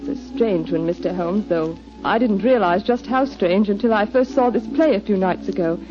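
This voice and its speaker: A woman's voice: mid-range voice, moderate pace, close to an everyday voice. An actress playing a guarded, well-bred young lady seeking help in a 1940s radio drama, formal in manner. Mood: polite, earnest. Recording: dull 1940s radio broadcast with music.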